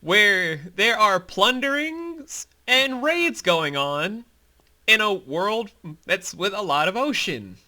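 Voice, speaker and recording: A man's voice: sits high, animated, takes it slowly, pushed and bright. A man giving casual behind-the-scenes commentary as creator and host, informal and emphatic, with some swearing.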